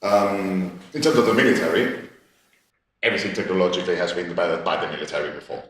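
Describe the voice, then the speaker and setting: A man, speaking as a panellist in a discussion, halting and restarting, repeating words as he searches for them. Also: sits low, fairly bright tone, a little hiss or hum. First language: Catalan. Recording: echoey gallery room, distant mic.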